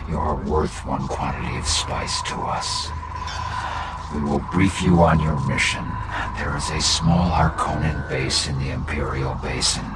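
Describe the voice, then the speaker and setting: An actor playing a cold, calculating, machine-like Mentat adviser in a game cutscene, speaking in clipped, deadpan pronouncements. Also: male voice, very deep voice. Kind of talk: video game cutscene acting, mission briefing. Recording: echoey, distant, loud background noise.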